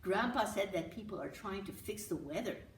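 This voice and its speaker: A woman's voice: medium pitch, lively intonation, moderate pace, natural voice. A woman voicing an earnest older brother, a boy who leads and explains, in a children's storybook reading. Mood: earnest explaining. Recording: roomy, distant mic with hiss.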